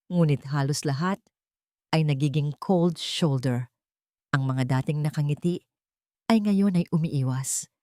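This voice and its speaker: Low-voiced Filipino woman narrating a drama. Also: mellow, no room sound, clean studio sound. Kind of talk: scripted dramatic story narration.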